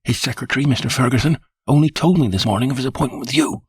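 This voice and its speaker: A man voicing a nervous, breathless male estate manager who speaks in hurried short sentences. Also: medium pitch, slightly rough, no room sound, studio quality, pitched above the performer's own voice. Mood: agitated.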